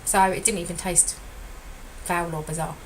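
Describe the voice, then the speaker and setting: A middle-aged woman with a slightly croaky voice, giving a chatty, rambling diet vlog update as a weight loss consultant and often correcting herself. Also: on the low side, fairly even intonation, moderate pace, slight background noise, low-quality recording.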